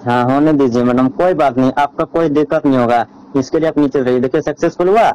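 A man's voice: mid-range voice, fast, darkish tone, clear, resonant tone. A man on a recorded phone call, giving step-by-step instructions in an insistent, repetitive, directive way. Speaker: phone caller.

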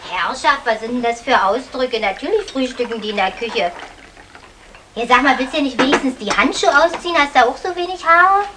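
An actress playing a lovestruck, chatty young housemaid, eager and coaxing, in a vintage German radio comedy. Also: female voice, high voice, fairly quick, natural voice. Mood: wistful, chatty. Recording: faintly noisy 1960 radio recording.

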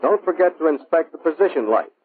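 An American man reading scripted instructional narration for a training film in a measured, clipped, instructive manner. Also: fairly high pitch, animated, slightly rough. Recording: muffled, phone-like vintage military film soundtrack.

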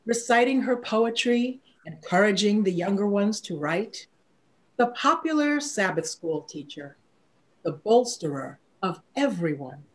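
An older woman reciting poetry in a measured, declamatory manner. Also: medium pitch. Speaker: poetry reader.

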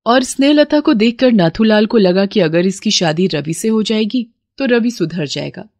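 Brief: storybook narrator, woman, mid pitch, very dry close sound. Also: lively intonation, moderate pace, a little mellow, no echo.

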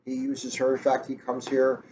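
Older man, mid-pitched, muffled recording. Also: completely flat delivery, normal speed, a little mellow. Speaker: police officer co-hosting. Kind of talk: casual chat. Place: indoors in a catering cafe. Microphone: webcam a short distance away. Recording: muffled, noisy webcam room recording.

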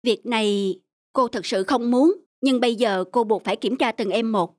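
A woman voicing a gentle, serious schoolteacher in a Vietnamese cartoon, admonishing softly and in a measured way. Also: a little high, a little hiss or hum, natural voice. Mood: grave. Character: gentle, serious female schoolteacher, concerned and fair.

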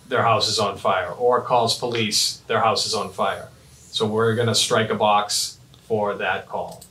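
A man in his thirties giving a step-by-step software demonstration in short, clipped instructional phrases. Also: mid-range voice, a little hiss or hum. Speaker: fire dispatch trainer. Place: small indoor office at a computer desk. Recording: roomy, echoing, mic at a distance.

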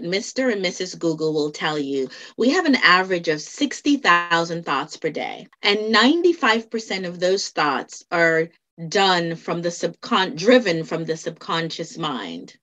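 A woman's voice: medium pitch, a bit bright. A middle-aged woman speaking as an interview guest on a video call, explaining things emphatically and repeating key phrases. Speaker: podcast guest, hypnotherapist and life coach. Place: indoor room at home, on a video call.

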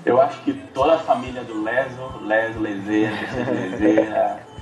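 A man with a lowish voice and very even intonation speaks casually in a Manaus accent. The video call sounds dull and echoey, with faint music behind. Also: moderate pace. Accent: Manaus Brazilian Portuguese. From Manaus, Brazil. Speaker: interviewee. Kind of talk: casual interview talk. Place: indoor room. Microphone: earphone mic on a video call.

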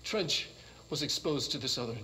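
Video game role: haunted male scientist, middle-aged, intense, stammering, somewhat high-pitched, on a noisy log recording. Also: expressive, moderate pace, bright, forceful tone. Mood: shaken, awed.

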